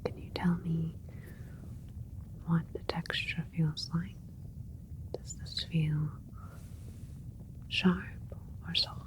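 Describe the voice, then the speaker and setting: A woman playing a gentle alien scientist in an ASMR medical roleplay, whispering in a breathy, soothing, politely clinical way. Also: sits low, little pitch movement, slow, pauses often, poor audio. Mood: attentive, clinical.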